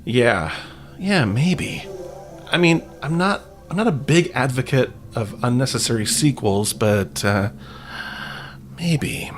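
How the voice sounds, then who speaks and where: A man's voice: medium pitch, lively intonation, slow pace, a little hiss or hum. A man hosting a podcast in a conversational narrating style, with wry, colloquial asides. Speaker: podcast host.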